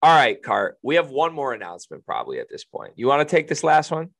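A man co-hosting a casual podcast, upbeat and joking, with a raspy voice. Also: mid-range voice, huge pitch swings, fast talker, a bit bright, dry recording, studio quality. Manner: upbeat, joking, plugs upcoming episodes. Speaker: podcast co-host.

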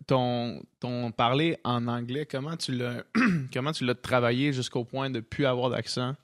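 A man's voice: medium pitch, steady tone, a little slow, no echo, clean studio sound. A man with a Québécois French accent, hosting a casual interview and asking loose, slangy questions. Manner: easygoing, slangy, asks long rambling questions. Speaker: podcast host.

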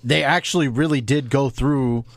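A man co-hosting a wrestling podcast, tossing in jokes and quick asides. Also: mid-range voice, dry recording. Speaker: podcast co-host. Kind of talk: casual chat.

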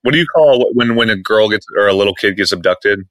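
A man's voice: on the low side, expressive, quick pace, fairly bright tone, no echo. A man hosting a casual podcast chat, rambling with frequent restarts and fillers. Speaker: podcast host. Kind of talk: casual chat about internet posts.

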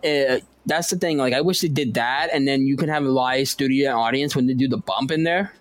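A man's voice: medium pitch, a little fast, no room sound. A man co-hosting a podcast, chatting casually in a joking, teasing way. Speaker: podcast co-host. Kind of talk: casual chat.

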